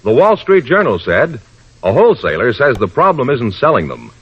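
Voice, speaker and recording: A man's voice: medium pitch, huge pitch swings, normal speed, breathy edge. An American man announcing in scripted 1950s radio style, with an earnest, declarative delivery. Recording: dull vintage radio broadcast recording.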